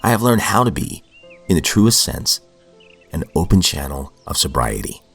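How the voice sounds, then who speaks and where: A man's voice: sits low, sing-song, moderate pace, vocal fry, fairly bright tone, soft background music. A man hosting a daily recovery reading podcast, reading passages aloud and then reflecting earnestly, sounding sniffly from a cold. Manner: earnest, reflective, with fillers and restarts.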